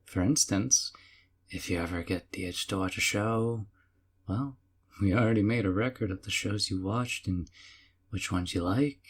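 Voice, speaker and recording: A young man voicing a doting, obsessive male kidnapper who talks softly and coaxingly, often in a whisper, with a husky voice. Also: deep voice. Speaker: voice actor. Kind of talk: audio roleplay.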